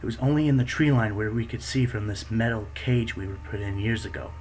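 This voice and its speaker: The narration comes from an alert, protective female raptor, the beta of her pack, as a man reads her part. The voice is lowish and steady, telling the story plainly. A faint room hum sits underneath. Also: fairly quick, lightly acted, low-quality recording. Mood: calm.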